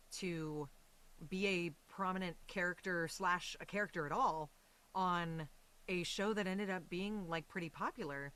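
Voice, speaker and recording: Bright-voiced American woman chatting casually. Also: fairly low pitch, clean tone, low-quality recording. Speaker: guest, voice and stage actress. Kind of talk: casual interview chat.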